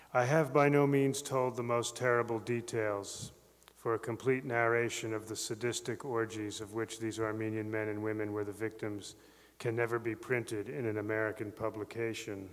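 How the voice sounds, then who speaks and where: A middle-aged man reading a historical account aloud at a podium, grave and even. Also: lowish voice. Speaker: reader at a literary memorial. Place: large ornate library hall. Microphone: podium mic on a stand. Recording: long hall reverb.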